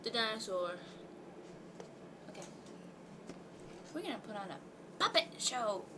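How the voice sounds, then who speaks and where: A young woman chatters and giggles in a high voice that jumps around a lot. She is heard from across the room on a home camera. A steady hiss of noise sits under her voice. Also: unhurried. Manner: giggling, goofy and loud. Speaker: home video maker. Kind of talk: giggly casual home video. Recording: noisy camera audio from a distance.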